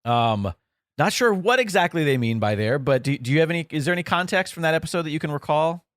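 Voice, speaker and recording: Halting, easygoing man on a dry podcast mic. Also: a little high, expressive, fairly bright tone, dry recording. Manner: halting, many fillers and restarts, easygoing. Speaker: producer and guest co-host. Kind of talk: casual podcast chat, reading listener emails aloud.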